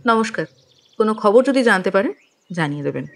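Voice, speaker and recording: A woman's voice: medium pitch, expressive, brisk, lightly acted. A woman playing a cool, dismissive lady of the house who is easily annoyed, in an audio detective drama. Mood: coolly polite. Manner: indifferent, clipped, dismissive. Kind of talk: acted dialogue in Bengali audio detective drama.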